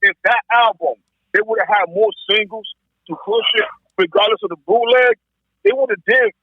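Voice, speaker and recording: A man's voice: high voice, normal speed, dry recording. A man in a casual hip-hop debate, opinionated and insistent, often repeating himself. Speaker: podcast guest. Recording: muffled lo-fi phone-style audio.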